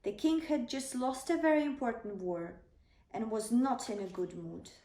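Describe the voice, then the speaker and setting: A woman's voice: on the high side, normal speed, warm tone. A woman narrating a children's bedtime story, reading aloud as a storyteller. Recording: roomy, slightly distant microphone.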